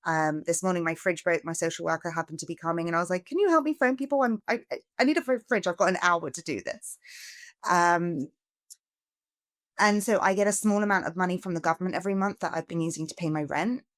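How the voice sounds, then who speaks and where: Woman, low pitch, dry close recording, earnest podcast guest, middle-aged. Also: fast, dry recording. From England. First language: English. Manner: earnest, animated when appealing for help. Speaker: guest, charity founder. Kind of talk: podcast interview.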